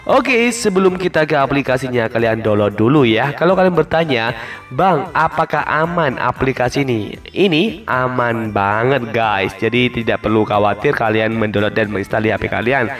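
A man narrates a tutorial in a mid-pitched voice over background music. He talks informally, walking through each step, repeating himself and stretching the ends of his phrases. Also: expressive, normal speed, a bit bright. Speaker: tutorial host. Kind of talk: casual app tutorial voice-over.

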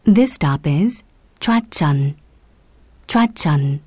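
Very slow, deliberate female transit announcer. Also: sits low, lively intonation, warm tone, breathy edge, dry recording, studio quality. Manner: formal, deliberate. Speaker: English-language subway announcer. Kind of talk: scripted public transit announcement.

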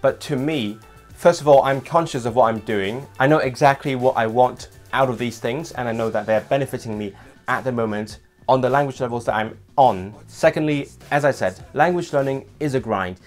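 Young male vlog host, non-native English, lively and gesturing, home desk setup, faint music underneath. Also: mid-range voice, moderate pace. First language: not English. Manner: animated, gestures with hands while talking. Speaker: language vlogger host. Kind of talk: casual scripted vlog talk to camera. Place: small home room with desk.

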